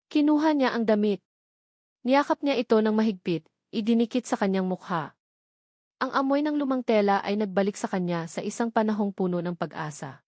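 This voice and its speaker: A woman's voice: mid-range voice, a little mellow, no echo, clean studio sound. A woman reading a scripted romantic drama as a story narrator. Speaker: narrator of audio love stories.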